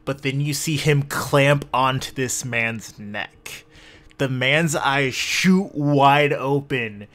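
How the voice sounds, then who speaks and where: Bright, forceful man narrating as game master. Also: mid-range voice, slow. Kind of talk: improvised narration of a tabletop roleplaying game. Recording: faint background noise.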